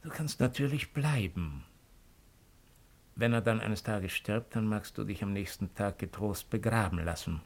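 Low-voiced, calculating Roman agent. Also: male voice, measured pace. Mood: pressing, pitying. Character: cold, calculating male Roman imperial agent. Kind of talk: 1950s German radio drama acting.

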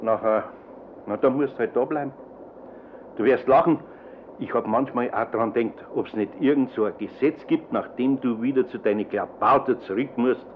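A man's voice: medium pitch, normal speed, a little hiss or hum, lightly acted. A male actor playing an older, fatherly master carpenter with a Bavarian accent in a radio play, plain-spoken and homely. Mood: resigned. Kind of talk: German radio play acting. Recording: narrow, tinny old radio recording.

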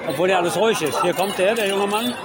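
Male street reporter, higher register, spontaneous wry commentary, loud urban crowd and traffic noise around him. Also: fast. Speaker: radio host reporting from the street. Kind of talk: spontaneous live on-site commentary. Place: outdoors in a busy city crowd. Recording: noisy urban crowd outdoors. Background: crowd, street ambience, vehicles.

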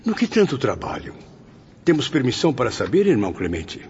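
Male actor as formal, inquisitive memoirist, lowish lively voice, muffled recording with music. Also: a little fast, a little hiss or hum. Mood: curious, polite. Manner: formal, literary, expressive. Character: courteous, curious spirit man recounting his memoirs. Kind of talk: radio drama acting. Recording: dull audio with music bed.

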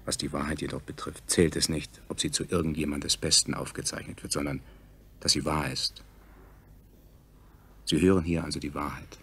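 A grave chronicler of a subjugated future people in a science fiction radio play. His voice is very low, with a gravelly creak and a husky rasp. He narrates in a measured, declamatory and deliberate manner. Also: little pitch movement, a bit bright, full character voice. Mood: solemn, insistent. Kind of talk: scripted radio drama narration.

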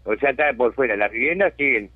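A man's voice: mid-range voice, lively intonation, fast, no room sound. A Uruguayan man and settlement spokesman, talking insistently and indignantly in a radio phone interview, often asking if he is understood. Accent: Uruguayan Spanish. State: has a chronic lung disease. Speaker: settlement resident and community spokesman. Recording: dry tinny phone line.

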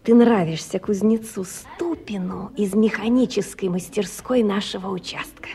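A woman's voice: mid-range voice, normal speed, vocal fry, dark tone, lightly acted. An actress playing a playful, coaxing cashier who teases a friend as matchmaker in a radio play, savouring her words. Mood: playful, admiring.

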